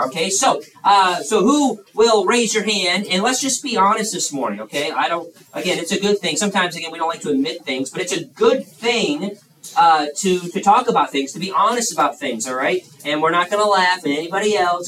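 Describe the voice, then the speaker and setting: A man's voice: on the high side, fairly bright tone. A man in his thirties preaching to young people in a folksy, chatty way, full of fillers and restarts. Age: 30s. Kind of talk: youth sermon with audience questions. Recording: echoey room, mic far off, faint hiss.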